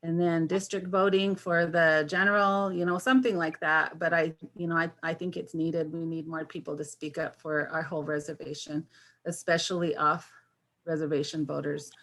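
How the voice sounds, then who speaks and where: A woman's voice: sits low, level tone, fairly bright tone. Fifty-year-old woman candidate on a video call. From Washington State, US. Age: 50s. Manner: halting, many fillers and restarts. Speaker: election candidate. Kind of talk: candidate forum introduction.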